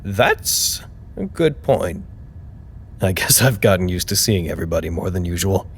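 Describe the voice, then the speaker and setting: A man's voice: sits low, very animated, moderate pace, breathy edge, heavily acted. A man in an audio drama playing a wry, mischievous but caring colleague with a casual manner. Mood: worried.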